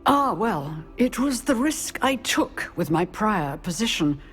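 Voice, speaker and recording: A woman voicing a composed, wry professor of magic in a video game, speaking in a measured, formal, cryptic way. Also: mid-range voice, sing-song, soft background music. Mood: guarded, enigmatic. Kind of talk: scripted video game acting.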